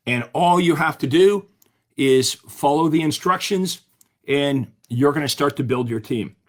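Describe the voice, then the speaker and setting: A middle-aged man coaching real estate agents in an upbeat way, often asking the audience questions, while his voice is giving out. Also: on the high side. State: losing his voice. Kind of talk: webinar-style coaching talk.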